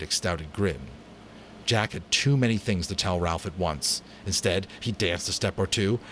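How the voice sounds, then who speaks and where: A man's voice: low-pitched, slight background noise. Man reading a novel dramatically. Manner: measured, dramatic. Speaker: narrator and amateur voice actor.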